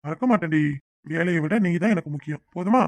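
A man's voice: on the high side, fast talker, no room sound, lightly acted. A man playing an affectionate, teasing young husband in a domestic drama, coaxing, familiar and apologetic. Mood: soothing. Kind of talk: domestic serial drama acting.

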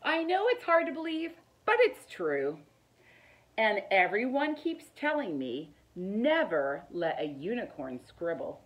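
A middle-aged woman reads a children's picture book aloud in an expressive, deliberate storytelling manner. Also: medium pitch, poor audio. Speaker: teacher reading aloud. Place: living room, indoors.

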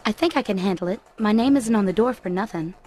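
A woman's voice: medium pitch, expressive, fast talker, a little mellow, faint music behind, lightly acted. A woman playing a playful, ditzy but confident glamorous bodyguard boss in a video game. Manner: playful, cutesy. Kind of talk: video game cutscene voice acting.

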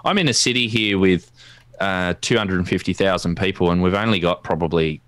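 Australian man, deep voice, dry close sound, informal technical talk, halting explanatory delivery. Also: fairly bright tone, dry recording. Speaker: guest presenter, amateur radio enthusiast. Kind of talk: informal technical presentation with slides. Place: indoors at a desk, video call.

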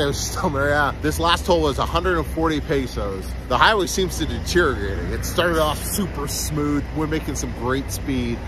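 A man in his thirties hosting a casual road-trip vlog, chatty and excitable, talking straight to his viewers from a car and roadside rest stops on a handheld camera. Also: medium pitch. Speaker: car vlogger. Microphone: phone or camera held at arm's length. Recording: noisy road and car background.

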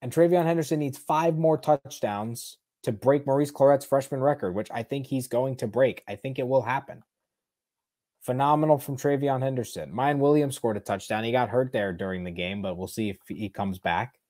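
A young man in his twenties reacting live to a football game from a small bedroom, talking into an earbud mic, excitable and rambling with sarcastic asides. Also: medium pitch, normal speed. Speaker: sports reaction streamer. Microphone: earbud headset mic on a webcam stream.

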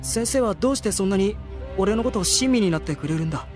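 An actor playing a brash, naive noble teenage boy in an anime audio drama, talking in a rough, casual way. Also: mid-range voice, expressive, fast talker, natural voice. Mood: touched, earnest. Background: music.

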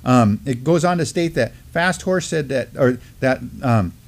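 A man's voice: mid-range voice, lively intonation, measured pace, gravelly. Middle-aged man discussing news informally. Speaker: news show co-host. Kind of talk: news commentary, reading and discussing articles. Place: indoor studio at a desk.

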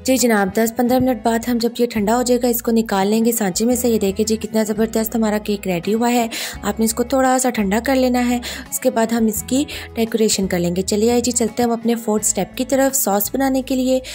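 Woman cooking-channel host, reassuring voice-over, soft music bed. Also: a little high, steady tone, fairly quick. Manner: warm, reassuring, repeats "ye dekhiye ji" and "ji janab".

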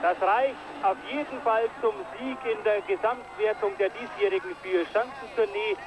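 A man giving live commentary on a ski jumping event, getting excited on big jumps, from an old broadcast tape. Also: high voice, normal speed, rough recording. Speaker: sports commentator.

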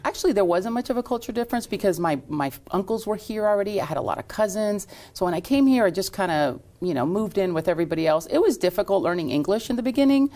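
A middle-aged woman, a salon owner, answering interview questions in a relaxed storytelling way with plenty of ums. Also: medium pitch, quick pace, few pauses. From Lexington, Kentucky. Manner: warm storytelling with frequent fillers.

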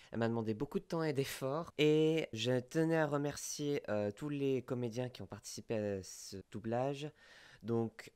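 A man with a clear, smooth voice of medium pitch gives a casual creator's outro. He talks informally and searches a little for his words. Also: darkish tone. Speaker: video creator. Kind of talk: casual thank-you outro.

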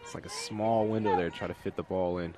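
A very low-voiced man adds easygoing color remarks at a night soccer game outdoors. Clear crowd noise fills the space around his voice. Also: fairly even intonation, fairly quick, dark tone. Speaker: color commentator. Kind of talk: live soccer color commentary.

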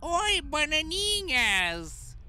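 A talking cartoon root with a Brazilian accent, friendly and theatrical. The voice is high and sharp, and it swoops in a lively way. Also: female voice, very slow, full character voice. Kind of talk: children's cartoon dub.

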